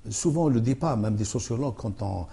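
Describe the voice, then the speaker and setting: A male author answering questions in a thoughtful, halting way. His voice is dark and mellow, with a husky edge. He restarts sentences often and pads them with fillers. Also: mid-range voice, expressive. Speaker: author, interview guest. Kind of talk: hesitant conversational interview.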